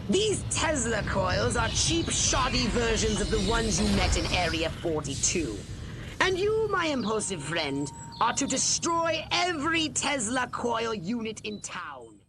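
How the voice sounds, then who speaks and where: Imperious, creaky, high-pitched alien commander. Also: female voice, very animated, measured pace, exaggerated voice. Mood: stern briefing. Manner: pompous, theatrical. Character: imperious scheming alien invasion commander, gleeful and contemptuous of humans. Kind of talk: video game cutscene acting. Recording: loud music under the voice.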